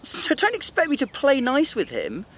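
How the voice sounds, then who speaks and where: A resentful young man in a radio drama, quick with sarcastic digs. His voice swings widely in pitch. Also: wildly swooping pitch, a bit bright, exaggerated voice. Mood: sneering. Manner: sarcastic, needling. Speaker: son recently released from prison.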